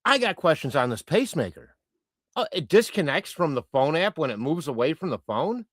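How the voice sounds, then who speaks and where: Chatty man hosting a livestream, lively pitch. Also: fairly quick, no echo, clean studio sound. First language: Croatian. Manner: rambling, chatty, many fillers and restarts. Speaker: livestream host reading chat. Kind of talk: casual live-stream chat.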